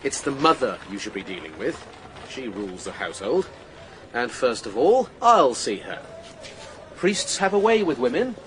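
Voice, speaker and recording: An actor playing a calm, shrewd priest, dry, measured and knowing, in a radio drama. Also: male voice, medium pitch, a little hiss or hum. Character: shrewd, calm Italian priest, younger brother.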